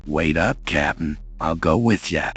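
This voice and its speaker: A man playing a gruff, good-natured spaceship engineer in an adventure game. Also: rumbling low, a little slow, a bit bright. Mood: folksy, helpful.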